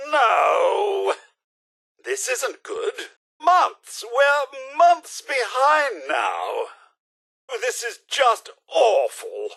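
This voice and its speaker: A man's voice: very high-pitched, vocal fry, pushed and bright, heavily acted. A man with a British accent voicing a fussy, anxious and polite robot butler in a video game, formal and theatrically emotive. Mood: flustered, despairing. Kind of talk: video game character acting.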